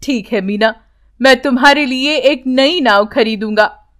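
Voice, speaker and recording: Lady doing an old grandpa in a kids story, slow n tender, super grateful. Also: high-pitched, a bit bright. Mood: fond. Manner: tender, heartfelt.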